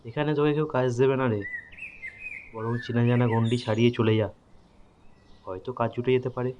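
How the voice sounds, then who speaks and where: A man's voice: mid-range voice, fairly even intonation, normal speed, a little mellow, dull audio, a little hiss or hum, close to an everyday voice. A man playing an ordinary local fellow who offers practical advice in an audio story. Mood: advising. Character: young male driver friend or local giving practical advice.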